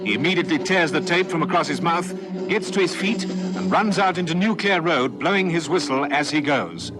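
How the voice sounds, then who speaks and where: Animated male crime-drama narrator over loud music. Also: a little high, brisk. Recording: vintage radio recording, loud music behind.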